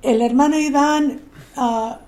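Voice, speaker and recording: A woman's voice: high-pitched, fairly even intonation, slow pace, darkish tone. A woman hosting a live church broadcast, introducing a guest and affirming him with short interjections.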